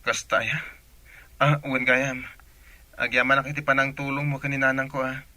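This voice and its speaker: An actor with an Ilocano Filipino accent playing an earnest, affectionate boyfriend in a village radio drama. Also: male voice, medium pitch, little pitch movement, normal speed, sharp tone, no room sound. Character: earnest, affectionate village sweetheart, a grateful man.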